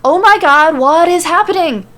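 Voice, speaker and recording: Woman as a shrill, shrieking, panicky male wrestler. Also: moderate pace, sharp tone. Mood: outraged panic. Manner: screaming, melodramatic.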